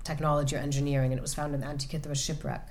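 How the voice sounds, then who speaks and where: A woman author answering audience questions about her novel, speaking thoughtfully with many pauses and restarts. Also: low-pitched, level tone. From United States. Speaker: author at a reading. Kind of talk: book talk and audience Q&A. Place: event room with an audience.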